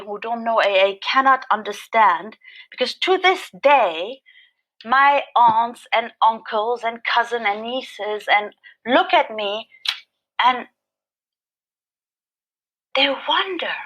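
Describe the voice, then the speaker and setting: A woman with a German accent, a non-native English speaker, telling her recovery story in an interview with a loud, animated, laughing manner. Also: on the high side, poor audio. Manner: animated, laughing, self-mocking. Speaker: guest sharing recovery story.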